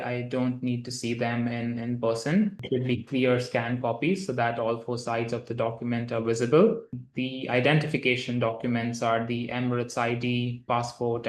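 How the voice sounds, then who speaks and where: Male lawyer, monotone and matter-of-fact, roomy echo. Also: mid-range voice. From grew up in India. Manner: steady, matter-of-fact, with occasional fillers. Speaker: lawyer, guest. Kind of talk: explanatory interview answers. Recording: echoey room.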